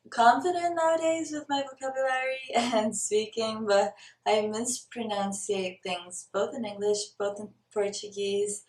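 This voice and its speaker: A woman's voice: mid-range voice, a little mellow. A young woman from Brazil talking earnestly to camera in a personal vlog, stopping now and then to search for the right word. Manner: earnest and searching, stops to look for words. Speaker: spiritual vlogger. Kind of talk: reflective personal talk to camera. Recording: roomy, mic at a distance.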